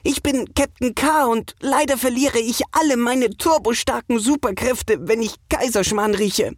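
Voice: medium pitch, measured pace, no room sound, studio quality, voice pushed up, natural voice. A narrator voicing an eager, boastful young superhero who gushes and gets flustered and stammers, in a children's audio story. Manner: exuberant, stammering, invents gushing super-words.

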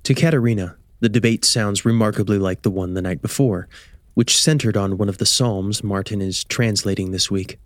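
A man's voice: fairly low pitch, moderate pace, no echo, studio quality. A man reading scripted story narration as the narrator of an audio drama.

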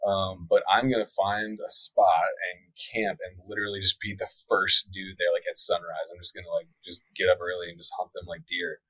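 A man's voice: low voice, little pitch movement, moderate pace, hardly any pauses, bright, forceful tone, breathy edge, dry recording, rough recording. A young man who hosts a hunting show, chatting casually on a podcast with lots of likes and ums. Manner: laid-back, many likes and ums, faith talk.

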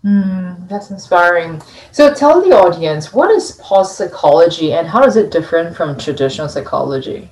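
A woman's voice: fairly low pitch, lively intonation, normal speed, hardly any pauses, darkish tone, poor audio. A woman hosting a podcast, welcoming and effusive as she introduces and questions her guest. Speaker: podcast host. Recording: faint music behind.

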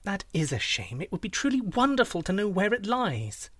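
Storybook princess played by a man: high, airy, coaxing, bold and cunning. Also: very animated, moderate pace, fairly bright tone, dry recording, full character voice. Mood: coaxing, flattering. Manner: coaxing, airy, sweetly persuasive. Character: bold, sweet-talking captive princess, cunning and convincing.

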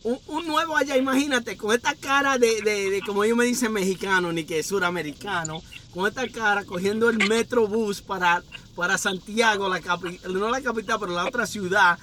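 A man's voice: sits high, a little fast, few pauses, sharp tone, slight background noise. A man with an Ecuadorian Spanish accent that has a few Dominican touches, hosting a casual interview from inside his car and telling meandering stories. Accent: Ecuadorian Spanish with some Dominican touches. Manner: chatty, meandering storyteller. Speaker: podcast host. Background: faint street sounds.

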